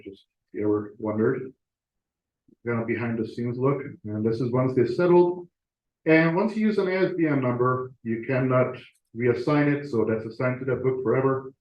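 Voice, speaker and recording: A man giving a casual talk to his club over slides, conversational, with fillers and restarts. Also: medium pitch. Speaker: club presenter. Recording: roomy, dull lo-fi sound.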